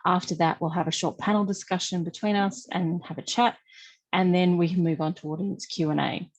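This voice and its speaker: A woman hosting an online panel, reading the introductions and running order over a video call in a clear, orderly way. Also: lowish voice, fairly even intonation, brisk, poor audio. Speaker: webinar host. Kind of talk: scripted introduction.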